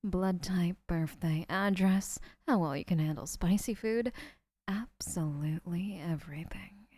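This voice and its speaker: An adoring young woman who gushes over the person she helped abduct, as a female voice actor plays her. Her voice is mellow and slightly low, soft and often whispered, in a dry, close recording for an audio roleplay. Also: measured pace, no echo. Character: adoring obsessive young woman kidnapper, gushing.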